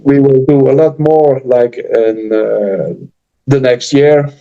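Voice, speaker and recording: A man with a Dutch accent hosting a casual live chat, talking in halting restarts and earnest promotion. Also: mid-range voice, lo-fi sound. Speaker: channel host and author.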